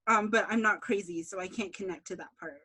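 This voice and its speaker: A woman being interviewed as a writer, chatting casually in an animated, self-deprecating way. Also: medium pitch, fairly quick, husky.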